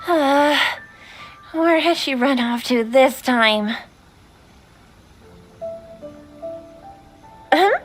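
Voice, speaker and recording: A woman's voice: high-pitched, slow, soft background music. A woman playing a dutiful, perceptive young shrine maiden in an anime video game, speaking formally and politely. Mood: polite, inquiring.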